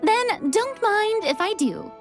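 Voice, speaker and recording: A woman's voice: very high voice, very animated, slow pace, faint music behind, full character voice. A woman voicing a bubbly, theatrical young party hostess in a video game. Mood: delighted.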